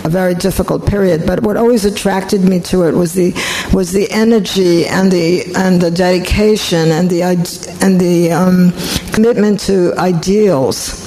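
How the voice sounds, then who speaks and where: A woman in her eighties from Poland, thinking back in an oral history interview with many pauses and restarts. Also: on the low side, fairly even intonation, dark tone, a little hiss or hum, poor audio. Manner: reflective, halting, frequent restarts.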